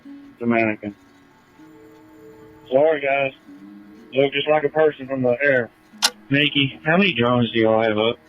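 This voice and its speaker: A man's voice: mid-range voice, measured pace, long pauses. A man talking over a two-way radio as a search responder, in practical dispatch talk. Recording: dull radio audio, faint music.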